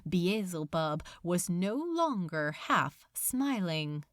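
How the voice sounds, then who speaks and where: A woman reading fiction aloud as a dramatic, expressive narrator, deliberate and suspenseful. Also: on the low side, no room sound, clean studio sound.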